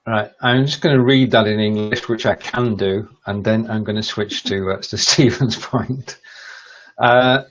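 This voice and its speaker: A man's voice: fairly low pitch, unhurried. Hesitant man learning German, dull audio. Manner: hesitant, stumbles over German words. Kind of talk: casual language lesson chat.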